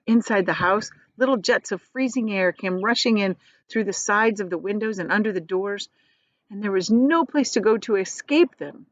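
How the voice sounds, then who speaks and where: A woman reading a children's novel aloud as a teacher would, in expressive storybook narration. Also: medium pitch, normal speed, no room sound, dull audio.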